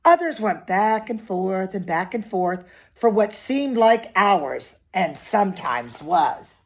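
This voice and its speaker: A woman's voice: medium pitch, very animated, slow, a little mellow. A woman reading a picture book aloud expressively to young children, with simple asides and questions to her listeners.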